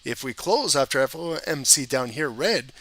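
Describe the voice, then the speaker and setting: A man with a cold and a hoarse voice, explaining trading charts to viewers in a casual, conversational way. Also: mid-range voice, animated, moderate pace, fairly bright tone. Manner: conversational, addresses viewers directly. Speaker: trading educator. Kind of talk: live-streamed chart analysis.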